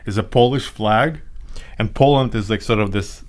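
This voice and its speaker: A man's voice: lowish voice, moderate pace. A man from Ukraine, a podcast co-host telling rambling stories in casual chat and laughing often.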